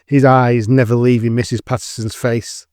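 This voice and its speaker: A man's voice: mid-range voice, little pitch movement, moderate pace, darkish tone, no room sound, studio quality. A man reading scripted dramatic narration as the narrator of an audio drama.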